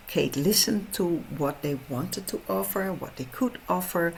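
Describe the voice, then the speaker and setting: A middle-aged Dutch-accented woman speaks in an unhurried, measured way. Her voice has a noticeable gravelly fry. She explains things earnestly, like a trainer presenting her program. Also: low-pitched, slight background noise, poor audio. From Netherlands. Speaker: horse trainer and program host. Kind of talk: online info session presentation.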